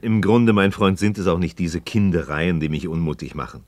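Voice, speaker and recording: An actor playing a disillusioned, impassioned Freemason novice in a philosophical radio play, speaking in an aggrieved, rhetorical, declamatory way. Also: male voice, low-pitched, moderate pace, darkish tone, no echo, natural voice. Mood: frustrated, yearning. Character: disillusioned, impassioned male Freemason novice questioning his friend. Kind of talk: mid-century German radio play acting of philosophical dialogue.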